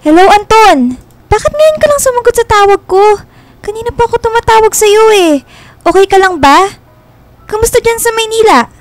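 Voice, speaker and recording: A woman's voice: very high voice, expressive, moderate pace, dry recording. An actress playing a devoted, clingy young girlfriend in a radio drama, emotional and insistent in her pleading. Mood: anxious, affectionate. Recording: music bed under the voice.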